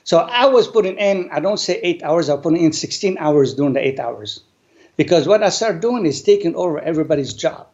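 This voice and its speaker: A man, an English speaker, telling his life story earnestly and deliberately as an interview guest. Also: fairly high pitch, expressive, darkish tone. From Lebanon. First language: not English. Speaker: guest, business owner. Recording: dull lo-fi sound.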